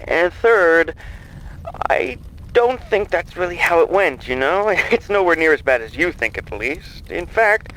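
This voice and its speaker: A sinister, gleeful villain in an audio drama, rather high and wildly swooping in pitch. He takes his time, teasing and soothing in a theatrical, mocking way. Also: very animated, measured pace, a bit bright, exaggerated voice, low-quality recording. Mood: gleeful, taunting. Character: gleeful, mocking, sinister male mastermind on the phone.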